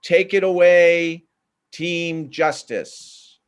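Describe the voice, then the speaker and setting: Man, moderator, slow announcing delivery, slightly high voice. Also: steady tone, clean tone. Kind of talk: introducing presenters.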